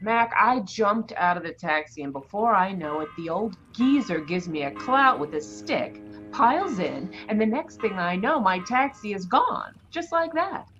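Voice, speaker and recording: A woman's voice: medium pitch, unhurried, hardly any pauses, lo-fi sound, faint music behind. A woman playing a feisty, flustered, slangy cab driver in a 1940s radio drama. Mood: indignant, recounting. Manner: slangy, excitable storytelling.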